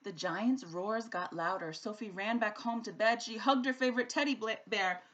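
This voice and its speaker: A woman reading a rhyming children's story aloud like a teacher, with an expressive, sing-song rhythm. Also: mid-range voice, moderate pace, muffled recording. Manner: animated, sing-song rhythm following the rhyme.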